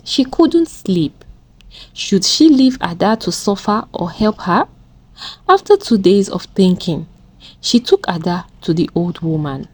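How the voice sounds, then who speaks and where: A woman's voice: mid-range voice, darkish tone, a little hiss or hum. A woman storyteller narrating a scripted folktale in a dramatic style. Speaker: storyteller, channel host.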